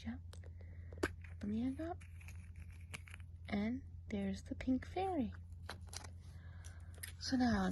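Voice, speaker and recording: A woman voicing a gossipy woodland witch who gives a soft, half-whispered ASMR eye exam. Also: high voice, noisy background, voice pushed up. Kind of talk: ASMR role play.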